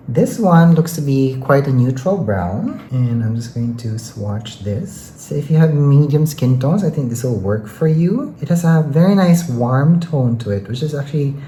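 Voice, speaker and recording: Chatty makeup vlogger, androgynous mid voice, soft mellow tone, roomy echo, bit of background noise, says like you know a lot. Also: mid-range voice. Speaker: beauty vlogger. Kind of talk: casual chatty makeup product review.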